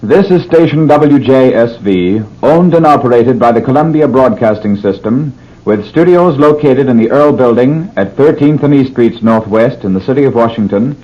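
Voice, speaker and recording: A man's voice: medium pitch, lively intonation, moderate pace, mellow. An American man reading a formal, scripted station identification in old radio announcing style. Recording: dull lo-fi old radio recording.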